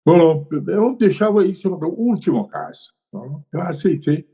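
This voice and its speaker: A man's voice: a little high, sing-song, unhurried, mellow. An older man, a podcast guest who tells his story hesitantly, with many fillers and tag questions. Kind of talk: conversational storytelling.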